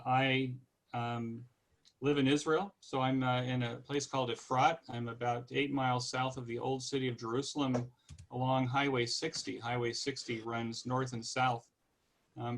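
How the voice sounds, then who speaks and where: A man in his sixties from California with an American accent, giving an informal, halting, conversational online presentation. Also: medium pitch. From California, United States. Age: 60s. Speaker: guest presenter.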